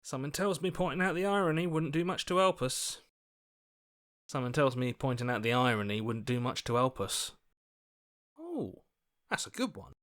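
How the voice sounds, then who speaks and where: An earnest video game hero, with a mid-pitched voice that rises and falls freely. He sounds anxious and questioning, like a conscience that keeps pleading to be heard. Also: very animated, moderate pace, pauses often, a bit bright. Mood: wry, worried. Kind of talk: video game character voice acting.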